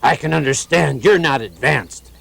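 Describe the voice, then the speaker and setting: A man's voice: fairly high pitch, normal speed, vocal fry, fairly bright tone, full character voice. A man playing an earnest, indignant monk in a puppet show, pleading persuasively.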